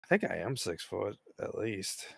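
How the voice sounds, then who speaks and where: A man's voice: fairly low pitch, big pitch swings, normal speed, gravelly, dry recording, clean studio sound. A man chatting casually as a player in a tabletop role-playing game, informal and jokey.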